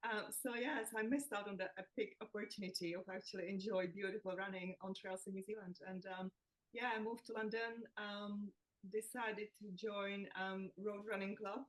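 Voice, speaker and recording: A woman's voice: mid-range voice, steady tone, moderate pace, low-quality recording. A woman from the Czech Republic, a nutritionist guest in a podcast interview, chatty and self-deprecating, laughing as she talks. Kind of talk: casual interview chat.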